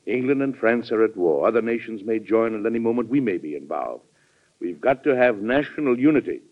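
A firm, fatherly American president in a vintage radio drama. His low voice rises and falls widely as he argues with earnest authority. The recording is dull and dated. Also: animated, fairly quick. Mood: earnest, harried. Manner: earnest, authoritative, hesitant at times. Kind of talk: old-time American radio drama acting.

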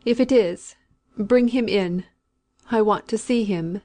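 A woman doing a dying old country woman in a storybook, slow and drawn-out, talking in a rough rustic way. she sounds sinful and scared of the dark, and she gives orders like she is used to being obeyed. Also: mid-range voice, close to an everyday voice. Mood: sullen, imperious. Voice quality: rustic dialect, anguished pleading.

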